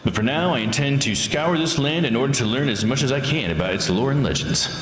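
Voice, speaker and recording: A man voicing a composed, dry-witted seeker of legends in a visual novel, with measured, formal manner. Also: mid-range voice, expressive, fairly bright tone, natural voice, rough recording. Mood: composed, reflective. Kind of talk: visual novel character read aloud. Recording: game music under the voice.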